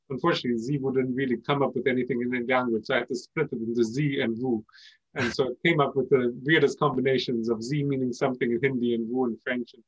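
Guy, native persian speaker, founder chatting in an interview, explains stuff w pauses, dry kinda lo-fi audio. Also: medium pitch, slightly rough, dry recording. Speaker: company founder being interviewed. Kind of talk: conversational interview answers.

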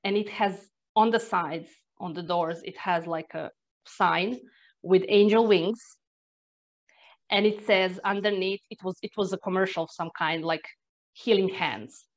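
A woman's voice: lowish voice, measured pace. A Croatian woman with an accent, a non-native English speaker, telling personal stories in a reflective, earnest way as an energy therapist guest. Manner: reflective, earnest, with sighs and restarts. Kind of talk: personal storytelling in conversation. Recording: dull lo-fi sound.

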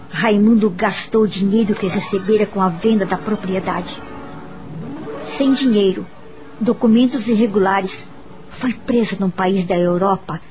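An actress playing a mature, confident, happy woman telling her life story in a measured, reflective way in a Brazilian radio soap opera. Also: mid-range voice, lightly acted, poor audio. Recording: music behind the voice.

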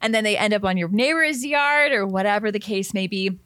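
A woman's voice: medium pitch, animated, a little fast, a bit bright, no echo, clean studio sound. A woman with a Canadian accent co-hosting a gardening podcast in casual, informal, bubbly chat.

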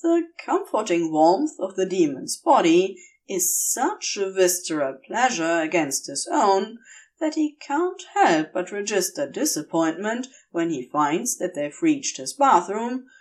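A woman reading fiction aloud as a scripted, expressive story narration. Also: mid-range voice, unhurried. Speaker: audio fiction reader.

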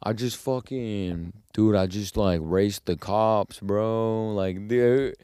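Young man, low voice, slangy animated storyteller, dry sound. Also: takes it slowly, clear, resonant tone, no room sound. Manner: slangy, animated storytelling, jumps into high mock voices when quoting. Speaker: podcast host. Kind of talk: casual podcast chat.

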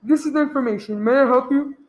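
Woman acting polite phone clerk lady in a radio play, a bit high, formal and matter of fact. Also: a little mellow, close to an everyday voice. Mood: brisk, polite. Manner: measured, formal reading. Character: businesslike telephone clerk, female, formal and courteous.

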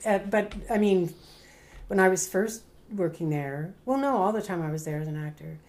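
Older Canadian woman reminiscing, low-pitched. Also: animated, moderate pace, a little mellow. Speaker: veteran stage actor being interviewed. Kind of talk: casual reminiscing, storytelling.

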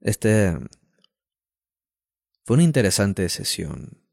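A man hosting a music show from a studio, calmly asking his guest questions and moving between segments. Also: lowish voice, slow pace, vocal fry, no room sound. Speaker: show host. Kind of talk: hosting an interview.

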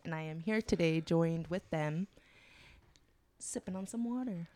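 A woman guest on a casual podcast, teasing with deadpan jokes. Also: fairly low pitch, unhurried, no echo.

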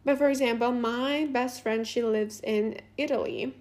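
A woman's voice: a little high, fairly even intonation, normal speed, darkish tone. A young woman from Latvia whose first language is Russian, talking casually and unscripted as a podcast host, rambling with many fillers and restarts.

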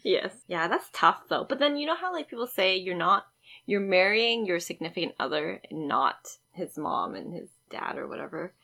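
A woman in her twenties co-hosting a casual chat, telling animated dating stories with lots of giggling. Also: fairly low pitch, moderate pace, vocal fry. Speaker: podcast co-host.

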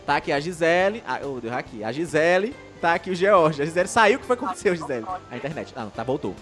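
Young maranhão guy doing a live show, quick rambly chatter, high-ish voice. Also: big pitch swings, over music. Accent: Maranhão Brazilian Portuguese. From Maranhão, Brazil. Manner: rambling, many restarts, chatty and upbeat. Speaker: live stream host. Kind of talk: casual live show chat reading comments.

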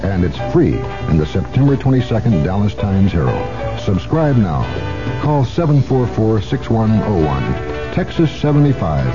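A muffled vintage radio spot has a male announcer over clear music. His promotional delivery is punchy, with very animated intonation. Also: lowish voice, moderate pace. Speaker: commercial announcer. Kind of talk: 1970s radio newspaper ad read. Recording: muffled 1970s radio recording.

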